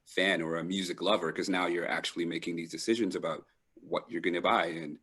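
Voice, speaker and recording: Man, middle-aged, very deep pitch, reflective interview talk, halting, thoughtful phrasing. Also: steady tone, fairly bright tone, breathy edge. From Trinidad and Toronto, Canada. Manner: thoughtful, halting, pausing to find words. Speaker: panel guest. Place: live online video call.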